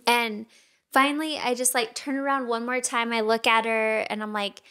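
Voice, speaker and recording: A woman's voice: on the high side, fairly even intonation, moderate pace, sharp tone. A woman co-hosting a podcast, telling a story in casual chat, laughing often. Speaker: podcast co-host.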